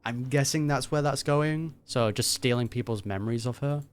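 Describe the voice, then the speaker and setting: A streamer giving informal reaction commentary on a game, thinking out loud in a casual way. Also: mid-range voice, normal speed, slight background noise. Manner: informal, musing aloud. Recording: game music behind the voice.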